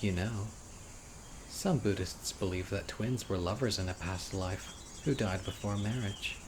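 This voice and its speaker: A low-pitched male actor's portrayal of a sickly young man with an obsessive streak. He muses earnestly and softly to his date in an intimate audio drama, wistful throughout. Birds and insects are clearly audible behind him. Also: unhurried. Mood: wistful, hopeful. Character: critically ill young man, wistful, obsessive yandere witch. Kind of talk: intimate scripted audio roleplay monologue. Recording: clear outdoor insect and bird noise. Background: birdsong, crickets and insects of a lakeside.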